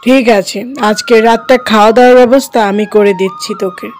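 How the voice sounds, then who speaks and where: A woman's voice: fairly high pitch, fast talker, a little mellow, a little hiss or hum, voice pushed down, natural voice. A woman voicing a stern, solemn old dervish who speaks with authority in a cartoon folk tale. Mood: solemn, approving.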